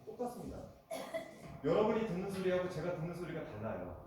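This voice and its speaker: A man's voice: sits high, very animated, fast, distant mic, background noise. Man lecturing in an echoey hall. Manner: conversational, asks the audience questions. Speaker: guest lecturer. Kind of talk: lecture to an audience. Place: meeting hall.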